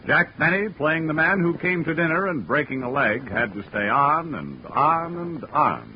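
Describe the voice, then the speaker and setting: A man's voice: fairly high pitch, big pitch swings, moderate pace. A man working as a radio announcer, delivering promotional copy in 1940s network style. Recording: muffled, noisy 1940s radio recording.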